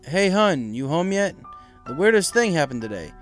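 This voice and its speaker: A man voicing a gentle, supportive pegasus husband in a casual, caring manner. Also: fairly high pitch, sing-song, normal speed, faint music behind, voice pushed up. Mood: chatty.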